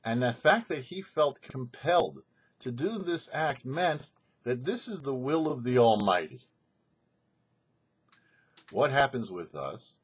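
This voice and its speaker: A male rabbi delivers a scripted sermon with a lively, swinging pitch and a husky edge to his voice. He is emphatic and instructive, setting out each phrase deliberately. The recording sounds somewhat dull and lo-fi. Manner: emphatic, didactic, rhetorical questions and deliberate phrasing. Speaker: rabbi, host of a weekly Torah show. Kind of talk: scripted religious teaching, sermon.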